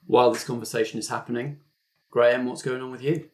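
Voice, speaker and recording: A man's voice: medium pitch, fairly even intonation, fast talker, a little mellow. A man hosting and narrating a tabletop role-playing game as its game master.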